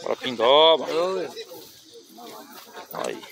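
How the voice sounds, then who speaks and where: A man with a Northeastern Brazilian accent, filming a livestock fair on his phone and chatting loudly with sellers, calling each one boss. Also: medium pitch, drawn-out words, vocal fry. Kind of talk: casual walking commentary. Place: outdoor animal fair, small town. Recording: outdoor crowd noise. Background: crowd and animals.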